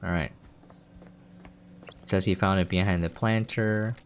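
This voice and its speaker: A man's voice: sits low, fairly even intonation, unhurried. A man giving casual gameplay commentary, explaining and thinking aloud as he plays. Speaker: game streamer. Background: game music.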